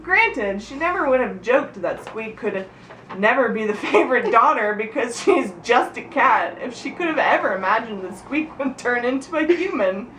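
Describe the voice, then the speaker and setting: Animated, higher-pitched woman in an echoey room. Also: normal speed. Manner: giggly, often stumbles and breaks off to comment. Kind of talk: reading a humorous story aloud to friends. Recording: echoey room, distant mic, background noise.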